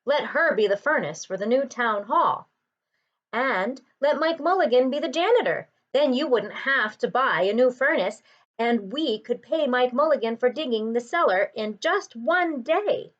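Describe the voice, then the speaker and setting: Woman reading as an eager, animated little boy. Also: fairly high pitch, a little slow, lo-fi sound. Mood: earnest suggesting. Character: eager, clever little boy with bright ideas. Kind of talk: children's picture book read-aloud.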